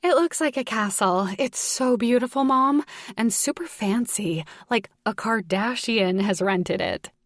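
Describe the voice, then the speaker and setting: A woman's voice: medium pitch, normal speed, no room sound, clean studio sound, natural voice. A woman voicing a dramatic, gushing young adult daughter in an audiobook, with an emphatic, youthful delivery. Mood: excited. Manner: whiny, enthusiastic, emphatic. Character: dramatic, gushing college-age daughter on the phone.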